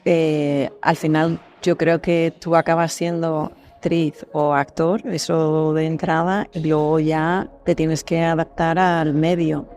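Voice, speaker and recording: A woman's voice: sits low, level tone, takes it slowly, hardly any pauses, darkish tone. A woman with an accent from Spain, talking casually and expressively as a podcast guest, explaining things through examples. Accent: Spanish from Spain. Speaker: voice actress guest.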